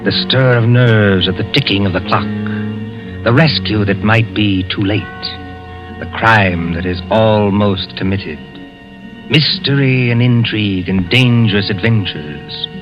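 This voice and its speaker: A man's voice: on the low side, lo-fi sound. A man narrating as announcer of a 1940s radio drama, with dramatic, declamatory delivery. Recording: old radio broadcast, loud music behind.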